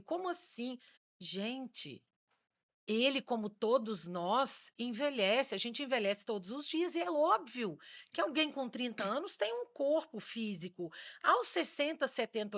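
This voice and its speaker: Mulher brasileira madura de voz brilhante. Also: female voice, fairly high pitch, fairly bright tone, lo-fi sound. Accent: Brazilian. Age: 50s. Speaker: podcast panelist. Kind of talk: conversational podcast discussion.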